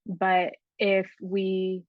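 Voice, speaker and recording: A woman's voice: medium pitch, monotone, very slow, no echo, highs cut off. A woman, a guest herbalist, talking in a casual podcast conversation, thoughtful and often restarting as she searches for words.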